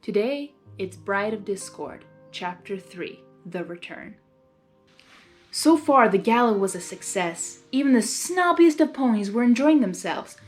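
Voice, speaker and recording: A woman's voice: medium pitch, lively intonation, unhurried, faint music behind. A woman reading fan fiction aloud informally, unrehearsed, with stumbles and amused asides. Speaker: fan fiction reader and channel host. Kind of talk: informal storytelling read-aloud.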